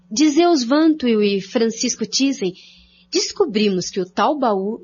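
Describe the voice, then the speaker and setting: An actress playing an earnest, scholarly researcher in a radio drama, with a formal expository delivery and a Brazilian accent. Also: female voice, a little high, a little mellow. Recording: dull lo-fi audio.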